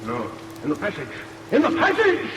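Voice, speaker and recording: An actor playing a gloating, crazed murderous barber villain in a theatrical, taunting vintage radio melodrama style. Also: male voice, high voice, wildly swooping pitch, normal speed. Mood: panicked. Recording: old radio recording, echoey, distant, loud rain noise.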